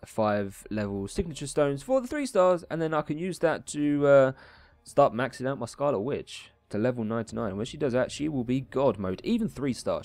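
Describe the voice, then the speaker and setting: A man's voice: medium pitch, a little mellow. A man hosting a gaming video with chatty, colloquial, casual commentary. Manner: chatty, colloquial, reads story dialogue aloud theatrically. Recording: music behind the voice. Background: game music.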